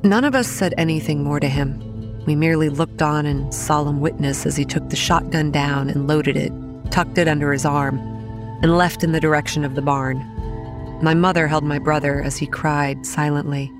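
An actress playing a haunted young woman, narrating childhood horrors in a solemn, deliberate first-person storytelling style. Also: low-pitched, steady tone, over music, close to an everyday voice.

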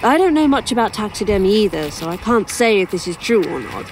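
A woman's voice: mid-range voice, very animated, fairly quick, slight background noise, natural voice. A woman playing an inquisitive, wry author in an audio drama, rambling and musing as she talks. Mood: curious, musing.

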